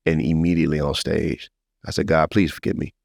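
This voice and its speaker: A man's voice: rumbling low, brisk, creaky, no room sound, clean studio sound. An American man hosting a podcast, telling stories casually and animatedly and encouraging listeners in a heartfelt, preacher-like way. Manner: animated storyteller, slips into encouraging preacher-like address. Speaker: podcast host. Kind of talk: casual, heartfelt testimony and conversation.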